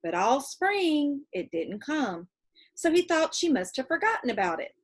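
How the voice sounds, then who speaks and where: Animated woman reading a story aloud. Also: sits high, unhurried. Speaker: storybook reader. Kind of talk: expressive scripted read-aloud narration.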